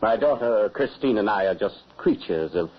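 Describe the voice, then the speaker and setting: A man's voice: lowish voice, expressive, normal speed, slightly rough. An actor playing a bluff, courtly huntsman father on the run, speaking formally and theatrically in an old-time radio horror drama. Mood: smooth. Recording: muffled, hissy old radio recording.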